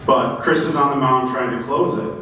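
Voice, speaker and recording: A man giving an animated storytelling speech at a banquet as a former player. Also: mid-range voice, moderate pace. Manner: animated anecdote-telling, acting out quotes. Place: banquet room. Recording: echoey room, distant mic, faint noise.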